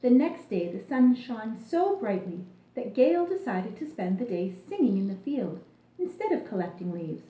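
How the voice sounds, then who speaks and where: A woman narrating a children's story in an expressive, deliberate manner, recorded in an echoey room. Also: on the high side.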